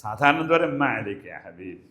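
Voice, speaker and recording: A man with a Malayali accent giving a spoken language lesson as a teacher, explaining and deliberately repeating example phrases. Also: medium pitch, big pitch swings, pushed and bright. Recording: echoey room.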